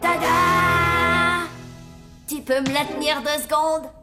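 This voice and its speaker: Cartoon zombie youngster: very high, cheeky and playful, loud music underneath. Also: female voice, steady tone, takes it slowly. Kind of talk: French animated cartoon dub.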